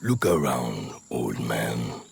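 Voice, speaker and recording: Extremely deep, menacing thug. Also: male voice, big pitch swings, takes it slowly, full character voice. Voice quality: very deep, gravelly, raspy. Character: menacing, slow male thug threatening smugglers. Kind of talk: video game voice acting.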